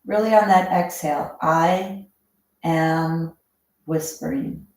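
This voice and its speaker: A woman's voice in a very reverberant room, speaking very slowly and fairly low. She guides a breathing meditation as an instructor, calm and measured, with long pauses between short phrases.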